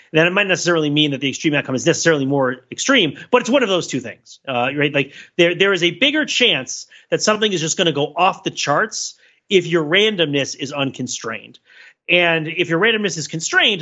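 Male podcast host, higher-than-average bright voice, thinking aloud in rambling analytical fashion with frequent "right?" check-ins. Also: lo-fi sound. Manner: discursive, halting, checks in with "right?". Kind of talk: casual analytical discussion.

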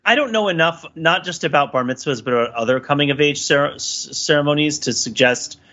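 A man's voice: a little high, a little fast, sharp tone, dull audio. A man hosting a podcast, talking casually and analytically in a wordy way that circles around his point. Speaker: podcast host. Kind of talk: casual analytical discussion of a TV show.

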